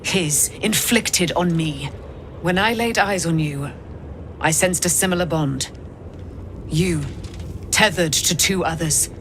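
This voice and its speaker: A woman playing a cryptic, commanding immortal in a video game, measured and disdainful. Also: on the low side, noisy background. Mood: scornful, knowing. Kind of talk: cinematic video game acting.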